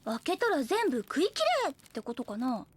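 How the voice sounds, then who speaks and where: A woman's voice: high voice, big pitch swings, normal speed, slightly rough, exaggerated voice. An actress playing an easygoing, affectionate young woman in an anime audio drama, talking in a frank, friendly, casual way. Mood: frank, gentle. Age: young adult.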